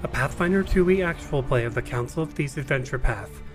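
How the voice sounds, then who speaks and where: Male trailer narrator, mid-pitched, theatrical scripted fantasy read. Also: expressive, a little fast, soft background music.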